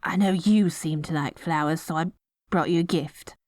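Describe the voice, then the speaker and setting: A woman's voice: low-pitched, fairly even intonation, moderate pace, a little mellow, no room sound, clean studio sound, close to an everyday voice. A woman playing a dorky, lovestruck evil queen in an ASMR roleplay, stammering and flustered between pompous villainous proclamations. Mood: awkward, eager. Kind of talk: ASMR roleplay audio drama.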